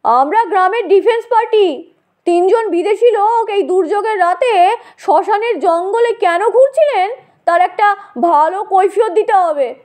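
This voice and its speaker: A woman's voice: very high voice, expressive, moderate pace, darkish tone. A woman voicing a stern, accusing male village patrol guard in an audio story. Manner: brusque, accusing.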